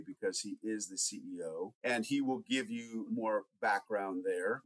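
A man's voice: fairly low pitch, a bit bright, no room sound, low-quality recording. A man hosting a podcast, introducing and questioning a guest in a conversational way. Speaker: podcast host.

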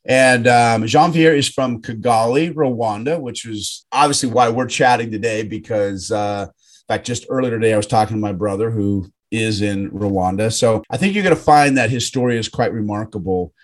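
A man hosts a relaxed podcast interview over a video call, and his speech rambles and restarts as he goes. He sits at mid pitch for a man and keeps a friendly, chatty tone. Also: normal speed. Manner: rambling, self-correcting, friendly. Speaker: podcast host. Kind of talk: casual interview.

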